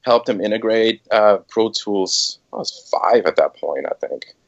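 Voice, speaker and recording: A man's voice: fairly low pitch, expressive, a little slow, lo-fi sound. A middle-aged man, a music producer, telling his career story in a casual podcast interview, hesitant with many fillers. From Switzerland.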